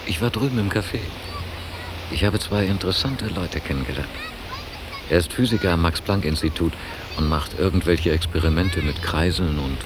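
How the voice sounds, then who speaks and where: A man's voice: sits low, natural voice. An actor playing Frank, an insistent, pragmatic German man abroad, plain-spoken and impatient in a naturalistic radio drama. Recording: hissy, noisy cassette copy of radio play.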